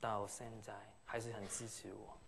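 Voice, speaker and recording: Gravelly man with a Cantonese accent. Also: on the low side, level tone, normal speed, a bit bright. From Hong Kong. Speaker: Buddhist reverend giving a teaching. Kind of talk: Dharma talk delivered in pauses for an interpreter. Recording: audible crowd behind the voice.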